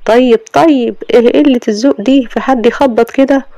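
A storyteller voicing a proud, quick-tempered young wife with an Egyptian accent, snapping out indignant retorts. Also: female voice, fairly high pitch, mellow, no room sound, voice pushed up, natural voice. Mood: defiant. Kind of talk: storybook audio drama.

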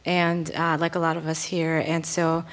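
Low-voiced woman from France, earnest. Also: monotone, moderate pace. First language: French. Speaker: panelist artist and community organizer. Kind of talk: panel talk. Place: stage at an indoor arts venue. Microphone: table microphone.

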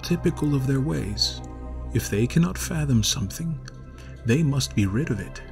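A man voicing a kindly yet sinister high priest in a storybook reading, speaking softly and in a measured, coaxing way with a low, smooth voice. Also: expressive, music in the background. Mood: persuasive. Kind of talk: storybook narration.

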